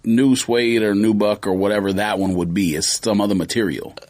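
A man's voice: lowish voice, steady tone, a little fast, darkish tone. A man co-hosting a podcast, explaining details in casual conversation. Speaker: podcast co-host.

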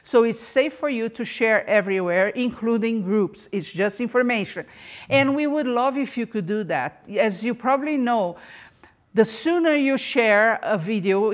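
A woman hosting a live podcast interview, enthusiastic and chatty, talking over a video call. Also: medium pitch. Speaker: podcast host.

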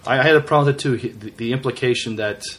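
A man co-hosting a podcast, chatting casually and haltingly, often repeating words as he searches for phrasing. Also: medium pitch, a bit bright. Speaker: podcast co-host. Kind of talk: casual chat reviewing a TV series.

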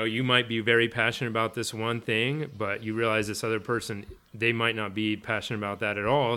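A man's voice: fairly low pitch, pushed and bright, clean tone. Reflective man talking with many restarts. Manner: reflective, rambling with restarts and fillers. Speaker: firefighter guest. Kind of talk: casual interview talk.

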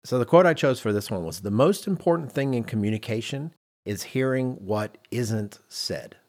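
A man's voice: mid-range voice, lively intonation, moderate pace, darkish tone. A man co-hosting a podcast, talking conversationally and explaining with emphasis and rhetorical repetition. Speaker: podcast co-host. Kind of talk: conversational podcast discussion on leadership.

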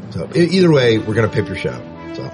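A man's voice: medium pitch, very animated, fast talker, a little mellow, soft background music. A man co-hosting a sci-fi podcast, joking with his partner and running the segments. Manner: joking, teasing, runs the segments. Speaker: podcast co-host. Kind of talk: casual podcast chat.

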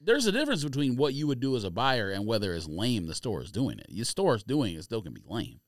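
Lively, insistent man in casual debate. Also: mid-range voice, fast, dry recording, clean studio sound. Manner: slangy, insistent. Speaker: sneaker podcast co-host.

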